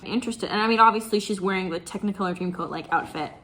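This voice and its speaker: A woman's voice: medium pitch, fast talker, fairly bright tone. A woman doing casual reaction commentary in a wry, amused, sarcastic way. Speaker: reaction video host.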